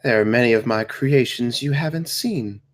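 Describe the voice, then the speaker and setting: A man at a table read playing a female undead necromancer who is refined, unhurried, archly polite and casually menacing. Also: medium pitch, natural voice. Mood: cool, assured.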